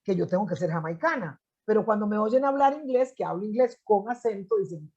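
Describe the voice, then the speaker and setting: A middle-aged woman with a Costa Rican accent speaks in a warm, mellow voice, thoughtful and unhurried. She often restarts and fills pauses with "este" as she recounts things. The recording sounds a little dull and lo-fi. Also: medium pitch, lively intonation. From Costa Rica. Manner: reflective, frequent restarts and filler "este". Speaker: interview guest, anthropologist and poet. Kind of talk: conversational storytelling.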